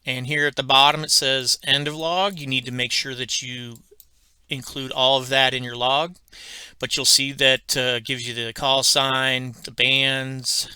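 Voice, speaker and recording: A man giving an unscripted, step-by-step software tutorial in a halting, methodical, instructional way. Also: medium pitch, level tone, clear, resonant tone, dry recording. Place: indoors at a computer, screen-recording voice-over.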